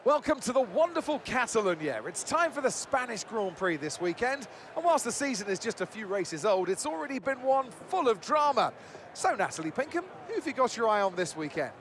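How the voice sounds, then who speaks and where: A male sports commentator with a high voice and lively, swinging pitch. He sounds polished and upbeat, like a TV race announcer, over faint background noise. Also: brisk.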